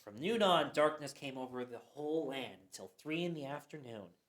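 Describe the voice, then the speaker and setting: A man's voice: mid-range voice, animated, normal speed, a bit bright. A man narrating scripture for a church radio play, reading earnestly and sometimes stumbling. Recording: echoey room.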